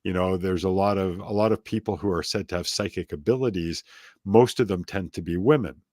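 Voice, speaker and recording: Very low-voiced man, dry sound. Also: brisk, darkish tone, no room sound. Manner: rambling, self-deprecating, prone to tangents. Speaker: interview guest. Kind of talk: casual interview conversation.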